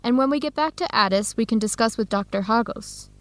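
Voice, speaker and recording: A woman's voice: a little high, a little fast, a bit bright, no room sound, lightly acted. A woman with an American accent playing a friendly, encouraging young researcher in an educational radio drama. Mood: enthusiastic. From United States.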